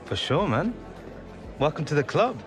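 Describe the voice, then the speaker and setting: A man's voice: fairly high pitch, very animated, normal speed. A man playing an eager, stubborn young footballer in a video game cutscene. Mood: upbeat. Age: young adult. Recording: game dialogue over music.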